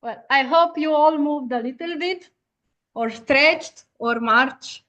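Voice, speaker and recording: A woman's voice: high voice, takes it slowly, sharp tone, dull audio. A woman moderating an informal webinar, speaking politely and a little hesitantly in non-native English.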